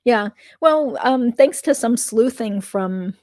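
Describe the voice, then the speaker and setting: A woman hosting a legal commentary livestream, chatting casually with fillers and asides. Her voice is slightly croaky. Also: on the high side, animated, takes it slowly, warm tone, no room sound, studio quality.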